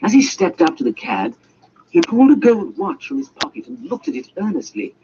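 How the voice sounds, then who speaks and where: Animated, high-pitched man narrating a story. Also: brisk. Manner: theatrical, voices dialogue. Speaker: audiobook narrator. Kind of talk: dramatic storytelling, scripted narration. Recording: muffled, noisy amateur audiobook recording.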